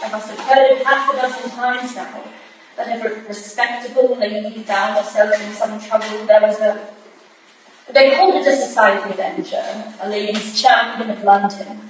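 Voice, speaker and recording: A woman playing a sharp, indignant, proud young Victorian society wife in a staged reading. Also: medium pitch, fairly even intonation, moderate pace, close to an everyday voice. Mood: indignant, forceful. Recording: echoey hall, distant mic, hissy noise.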